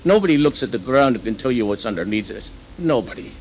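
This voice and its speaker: A man's voice: mid-range voice, expressive, quick pace, darkish tone, lightly acted, rough recording. Man acting as skeptical drill operator, faint noise. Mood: skeptical, wry. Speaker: drill operator giving testimony. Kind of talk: conversational first-person horror testimony.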